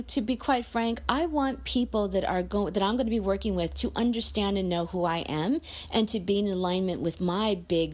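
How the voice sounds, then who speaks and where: A woman giving a frank, earnest personal monologue, thinking aloud and restarting her sentences as she goes. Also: lowish voice. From United States.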